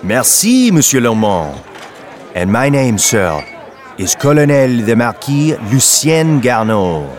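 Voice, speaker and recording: A narrator puts on a proud nobleman, a colonel who insists on his title. He speaks slowly and with precise care, his plain, his pitch rising and falling widely. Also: big pitch swings. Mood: courtly. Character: haughty French colonel, a marquis, middle-aged.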